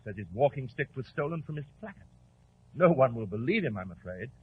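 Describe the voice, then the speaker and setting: An actor in a 1940s radio drama playing a pompous defence barrister with a rhetorical, blustering delivery. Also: male voice, medium pitch, sing-song, brisk, husky. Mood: gloomy, rhetorical. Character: pompous male defence barrister, secretly guilty, indignant. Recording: muffled, tinny old radio recording.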